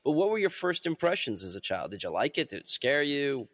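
A man's voice: a little high, very animated, fairly quick, a bit bright, in a large hall. A man from New York hosting an interview, who asks chatty questions peppered with filler words. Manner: chatty, drops in filler likes. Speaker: podcast host. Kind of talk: casual interview chat.

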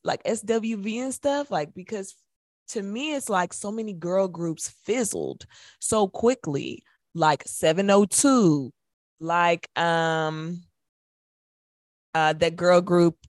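A young woman with a slightly low voice talks music as a radio co-host. She is opinionated and sassy, leaning on colloquial phrasing, and the sound is close and dry. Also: no room sound. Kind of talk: casual opinionated music chat.

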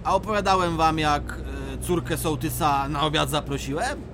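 A man voices a jovial miner who can't resist teasing his mates and spinning tales. His voice sits high for a man. A faint ambient bed hums behind him. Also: moderate pace, background noise, close to an everyday voice. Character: male miner Bulandra, cheeky storyteller.

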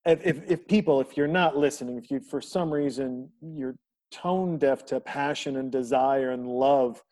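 A man's voice: medium pitch, moderate pace, a little mellow. A man hosting a podcast, chatting casually and praising his guest in a friendly, effusive way, often repeating words as he starts. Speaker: podcast host.

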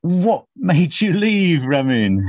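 A man's voice: on the high side, very animated, slow pace, a little mellow, no room sound, muffled recording. A man hosting an interview, who asks probing questions and often rephrases them. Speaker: interviewer, host.

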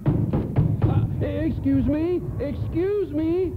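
A high-voiced, frazzled, stammering dad. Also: male voice, drawn-out words, phone-quality sound, over music, full character voice. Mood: surprised, relieved. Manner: hesitant, stammering. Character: frazzled, polite married father stranded in a snowstorm. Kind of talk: radio play acting. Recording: noisy, band-limited radio broadcast.

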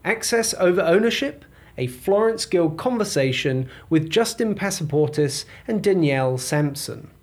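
A man's voice: on the high side, lively intonation, moderate pace, a little hiss or hum. A man reading a scripted podcast introduction in a polished, promotional way. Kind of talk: scripted narration. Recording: intro over music.

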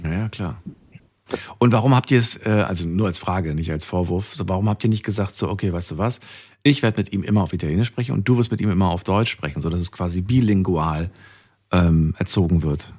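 A man's voice: sits low, brisk, a little mellow, slightly rough. A male radio host chats with a caller and asks follow-up questions in a friendly, conversational way. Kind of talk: phone-in radio show.

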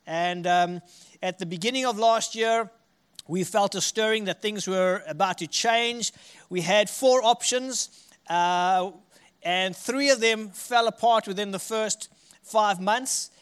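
Middle-aged South African male pastor, bright-pitched, smooth clean tone, easygoing sermon delivery. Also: slow pace. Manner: casual, friendly, with filler words. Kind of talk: sermon testimony. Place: church.

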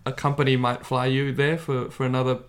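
A man's voice: medium pitch, level tone, fairly quick. A man from Indonesia chatting casually as a podcast co-host. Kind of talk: casual chat.

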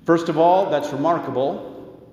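A man's voice: a little high, a little slow, a little mellow. A man preaching as a pastor in a conversational sermon style, with rhetorical questions and folksy asides. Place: reverberant church during a service. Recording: echoey church with long reverb.

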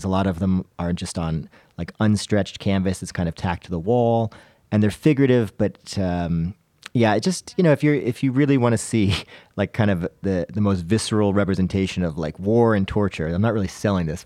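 A man co-hosting a casual podcast, talking haltingly with dry humor. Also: low-pitched, warm tone, dry recording. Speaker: podcast co-host. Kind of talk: casual art chat.

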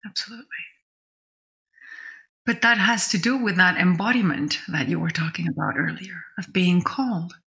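A woman's voice: fairly low pitch, normal speed, vocal fry, sharp tone. A woman co-hosting a spiritual discussion podcast, thinking aloud in a reflective way and restating her points. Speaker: podcast co-host.

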